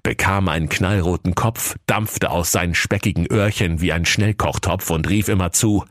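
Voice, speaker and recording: A man's voice: low-pitched, moderate pace, fairly bright tone, dry recording, clean studio sound. A man narrating a scripted comic fairy tale as a mock-solemn storyteller.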